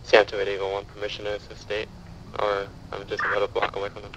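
A man's voice: lowish voice, monotone, fast talker, fairly bright tone. A man making procedural police radio calls in an online game role-play. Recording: noisy distant radio with sirens.

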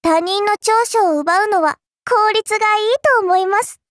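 A woman's voice: very high-pitched, normal speed, fairly bright tone, dry recording, clean studio sound. A woman voicing a small AI servant girl in an anime mobile game, sweet and polite with a prim, smug edge. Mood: smug, appraising.

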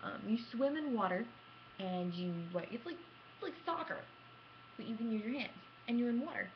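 A woman's voice: fairly high pitch, animated, moderate pace. A young adult woman tells stories casually to camera in a theatrical, self-mocking manner, recorded on a webcam in a room at home. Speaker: gaming vlogger. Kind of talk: casual animated storytelling to camera. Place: small room at home, indoors. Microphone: computer webcam at arm's length. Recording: dull lo-fi webcam sound with clear noise.

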